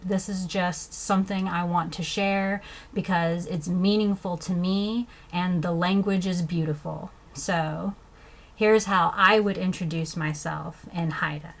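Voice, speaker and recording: A woman from the States, teaching basic phrases as a beginning learner, pronouncing words slowly and deliberately. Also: on the low side. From southern United States. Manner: careful, deliberate pronunciation with fillers. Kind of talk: slow instructional explanation.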